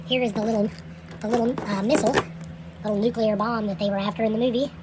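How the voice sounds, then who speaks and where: A woman's voice: a little high, fast. Chatty woman unboxing with background noise. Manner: relaxed, chatty, with frequent fillers and trailing phrases. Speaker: toy reviewer. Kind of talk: casual unboxing commentary. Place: indoors at night. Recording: home recording with steady background noise.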